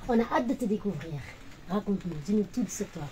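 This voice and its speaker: Female interview host with a mellow, warm tone and short encouraging prompts, over faint background noise. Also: mid-range voice, lively intonation, fast, rough recording. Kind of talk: interview hosting.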